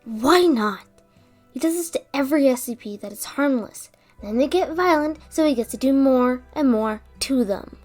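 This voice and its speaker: A woman playing a stressed, protective female scientist in an audio roleplay drama, speaking deliberately with sighs. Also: high-pitched, lively intonation, darkish tone, faint music behind. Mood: angry.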